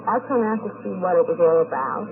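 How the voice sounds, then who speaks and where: A woman's voice: on the low side, animated, quick pace, narrow, tinny audio, slight background noise. A woman giving brief, unscripted interview answers on a vintage 1950s radio recording. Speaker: convention attendee being interviewed. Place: flying saucer convention.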